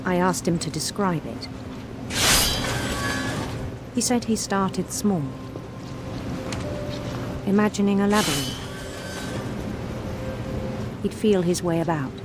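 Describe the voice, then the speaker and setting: A composed female psychiatrist narrates in a game. Her voice is on the low side, formal, grave and deliberate, under loud music. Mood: grave, concerned. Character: composed female psychiatrist reporting on a troubled patient. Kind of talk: scripted game narration, letter read as voice-over.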